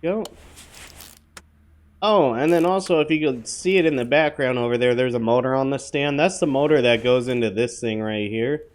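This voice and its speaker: A man with a hoarse voice from a cold gives rambling, offhand commentary while playing, talking to viewers with plenty of fillers. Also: medium pitch, normal speed. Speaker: gaming channel host. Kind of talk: casual gameplay commentary.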